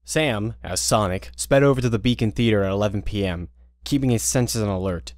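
A man's voice: lowish voice, brisk, dry recording, clean studio sound. A man narrating an audio drama in a casual storytelling manner.

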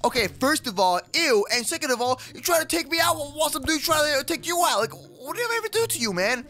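A man playing an excitable, sassy kid hero in an animated gaming roleplay, peppering his talk with slang. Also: extremely high pitch, quick pace, faint music behind, heavily acted. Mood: indignant. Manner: slangy, says bro and dude constantly. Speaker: gaming video creator playing the lead character. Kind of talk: animated Minecraft kids roleplay acting.

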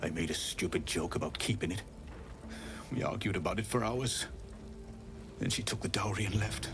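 An actor playing a grieving young husband who asks for help. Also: male voice, deep voice, moderate pace, music in the background, lightly acted. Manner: grieving, pleading.

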